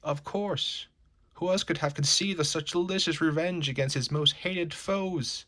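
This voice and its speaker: A man voicing a vengeful supervillain boss, grandiose and taunting in a theatrical, drawn-out way. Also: fairly high pitch, pushed and bright, voice pushed up. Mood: gloating.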